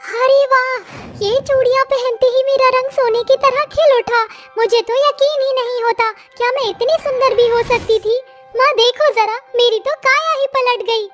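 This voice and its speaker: A woman puts on an excitable young village girl for a storybook. The voice is extremely high, gushing with amazed gratitude, with faint music underneath. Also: normal speed. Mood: amazed delight.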